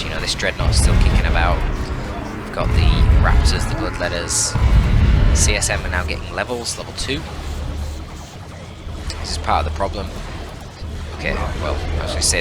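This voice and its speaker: A man giving analytical, informal live game commentary in a casual, mildly sweary, exclamatory way. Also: sits low. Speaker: esports caster, channel host.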